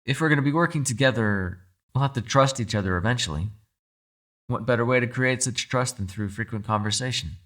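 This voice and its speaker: A man voicing a proud, gentlemanly captive yokai in a dramatic ASMR roleplay, articulate and courteous yet demanding, speaking directly to the listener. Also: medium pitch, fairly quick, natural voice. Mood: earnest, softer. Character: captive male yokai, gentlemanly, proud, protective telepathic prisoner. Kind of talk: ASMR audio roleplay, dramatic character acting.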